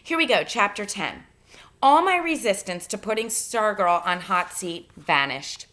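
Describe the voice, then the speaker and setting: A woman teacher reading a novel aloud to students in an expressive, instructive way, pausing to give guidance. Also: mid-range voice. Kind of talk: read-aloud lesson, narration with spoken instructions.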